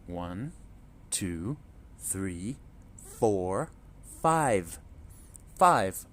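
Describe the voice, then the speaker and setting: A man reading a picture book aloud to children in a slow, clear, expressive storytelling manner. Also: deep voice.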